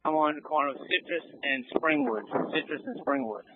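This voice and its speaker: A man working as a firefighter, giving casual, halting status reports over two-way radio. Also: on the high side. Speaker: firefighter in the field. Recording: noisy phone-like scanner radio transmission.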